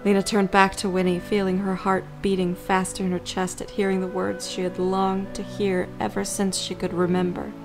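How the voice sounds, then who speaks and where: A woman reading scripted story narration for an audio drama in a measured, creaky voice. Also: mid-range voice, completely flat delivery, music in the background.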